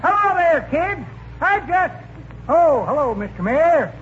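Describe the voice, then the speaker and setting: An actor playing an excitable, pushy old man in a 1940s radio comedy, talking in a folksy, familiar, exclamatory way. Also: extremely high pitch, unhurried, heavily acted. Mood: eager, folksy. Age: older. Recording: dull, noisy 1940s radio recording.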